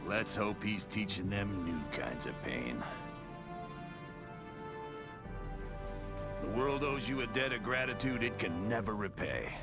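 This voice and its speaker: A middle-aged spymaster from a video game, commanding and gruff in a low register. He briefs in a blunt, grave military manner. Music plays clearly behind him. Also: big pitch swings, normal speed. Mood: gruff, earnest. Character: gruff, commanding male spy agency director, middle-aged. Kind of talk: video game cutscene acting.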